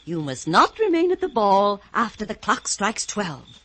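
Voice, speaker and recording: A grand, kindly fairy godmother from an old radio fairy tale. Her delivery is stately and unhurried, with a theatrical, indulgent lilt that rises and falls freely. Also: medium pitch, huge pitch swings, a bit bright, heavily acted. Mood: gently commanding. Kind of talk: old-time radio drama acting.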